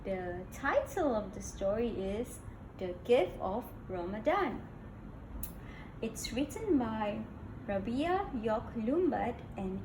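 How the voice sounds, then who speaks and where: A woman reading a storybook aloud to young children in a sing-song manner, asking her listeners questions. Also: a little high. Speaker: children's read-aloud host. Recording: echoey room with background noise.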